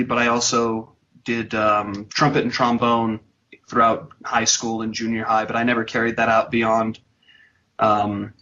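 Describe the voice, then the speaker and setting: A man, a band's vocalist, answering interview questions in a measured, earnest way with some ums. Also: mid-range voice, pushed and bright, a little hiss or hum. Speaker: band vocalist, guest. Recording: dull, lo-fi sound.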